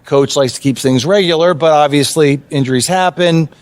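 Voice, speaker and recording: A man's voice: on the high side, normal speed, clean tone, no echo, close to an everyday voice. A man playing a direct, encouraging basketball coach in a video game, in a conversational style. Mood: matter-of-fact.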